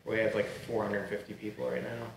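A man's voice: lowish voice, level tone, quick pace. A man hosting a podcast, explaining event details matter-of-factly from off-mic. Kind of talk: casual chat. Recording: echoey, off-mic, noisy.

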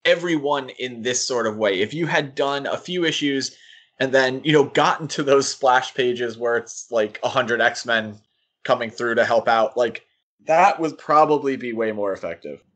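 A man's voice: medium pitch, moderate pace, a bit bright. A man hosting a podcast, chatting in a casual, conversational way. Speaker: podcast host.

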